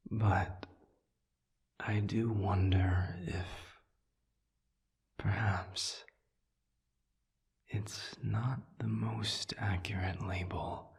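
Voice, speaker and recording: A man playing a suave, patient demon in an audio roleplay, speaking in a breathy half-whisper with measured, formal, soothing phrasing. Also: lowish voice, fairly even intonation, full character voice. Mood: gently probing. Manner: measured, deliberate, formal phrasing with long pauses. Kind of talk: audio roleplay, intimate one-sided dialogue.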